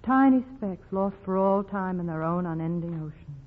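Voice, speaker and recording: A woman's voice: fairly low pitch, animated, moderate pace, long reverb tail. An actress playing a brave, romantic young woman with a secret doom, in 1940s radio drama style. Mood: wistful, tender. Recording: old phone-like radio recording with music.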